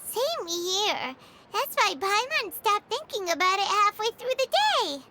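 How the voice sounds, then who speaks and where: A woman voicing a tiny, excitable, childlike fairy companion in a video game, chatty and a bit whiny. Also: extremely high pitch, measured pace, a little hiss or hum, full character voice. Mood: pensive. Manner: refers to herself in the third person. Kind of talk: animated video game voice acting.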